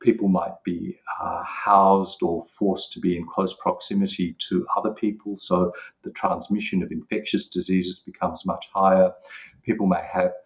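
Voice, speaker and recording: A middle-aged man, a psychiatry professor, explains medical issues in an interview in a measured way, pausing to think as he goes. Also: deep voice, little pitch movement, low-quality recording.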